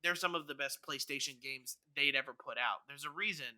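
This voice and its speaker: A man's voice: on the high side, a little fast, pushed and bright. A man co-hosting a podcast in casual discussion, earnestly rambling as he thinks aloud. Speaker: podcast co-host.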